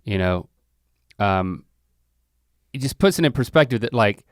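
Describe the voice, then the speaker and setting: An easygoing man in a casual chat, talking in a relaxed, philosophical way. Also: fairly low pitch, unhurried, smooth, no room sound, clean studio sound.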